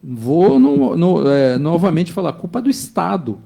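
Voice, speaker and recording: Gravelly, lively-voiced man in his fifties. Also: fairly high pitch, a little slow. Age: 50s. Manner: emphatic, insistent, keeps checking with the listener. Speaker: business executive guest. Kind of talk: opinionated studio discussion.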